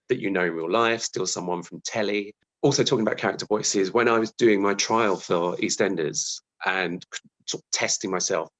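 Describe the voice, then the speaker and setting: Lower-pitched man in thoughtful casual conversation. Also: a bit bright. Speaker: interview guest, television scriptwriter. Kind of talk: casual interview chat.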